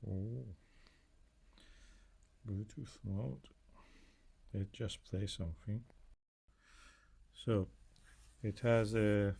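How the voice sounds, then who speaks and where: A man's voice: lowish voice, creaky, a little mellow. A man casually demonstrating a product in an unscripted way, sometimes trailing off mid-thought. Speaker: product reviewer.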